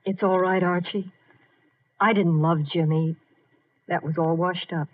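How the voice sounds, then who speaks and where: An actress playing an earnest, soft-hearted young woman in a 1950 radio mystery drama. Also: fairly low pitch, steady tone, unhurried. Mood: subdued. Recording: muffled old 1950 radio broadcast.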